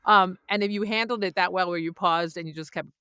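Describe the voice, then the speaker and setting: A woman hosting a podcast with a strong voice, chatting casually and effusively with frequent excited interjections. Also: lowish voice, brisk, clean tone, dry recording, clean studio sound. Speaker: podcast host.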